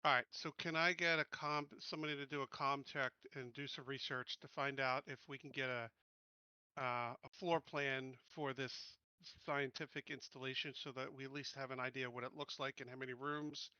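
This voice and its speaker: A man in his fifties with a very bright, forceful voice at medium pitch. He talks bluntly and casually as a tabletop player, on a close, dry recording that is slightly muffled. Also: steady tone, moderate pace, clear, resonant tone, no echo. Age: 50s. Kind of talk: casual game chat.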